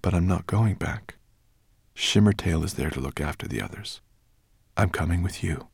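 A man's voice: deep voice, normal speed, gravelly, darkish tone, husky, no echo, clean studio sound, exaggerated voice. A man voicing a confident, loyal female dragon with a firm, earnest manner in a storybook. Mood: determined.

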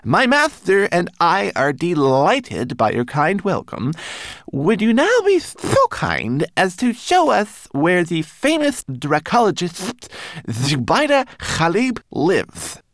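A man's voice: wildly swooping pitch, takes it slowly, a bit bright, no echo, exaggerated voice. A man voicing a tiny, fussy male homunculus servant who is proud and learned, with precise, formal speech. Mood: grandly polite. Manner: high, precise, eager and formal.

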